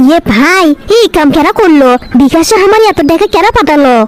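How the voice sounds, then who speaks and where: A woman voicing a boy-crazy, gullible young college girl in a comic cartoon, dramatic and excitable, with a northern Bangladeshi accent. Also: extremely high pitch, brisk, soft background music. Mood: excited, delighted. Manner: dramatic, self-pitying and boastful. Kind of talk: comic cartoon dialogue in rural dialect.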